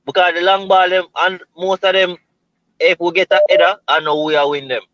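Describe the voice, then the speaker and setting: Bright-voiced Jamaican man on a call. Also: sits high, little pitch movement, no room sound. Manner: measured, halting restarts. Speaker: caller. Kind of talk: casual football talk. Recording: dull lo-fi call audio.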